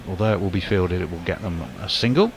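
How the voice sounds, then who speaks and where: Low guy doing cricket commentary, brisk, bit of hiss behind. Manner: brisk play-by-play with sudden lifts on big shots. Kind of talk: live sports commentary.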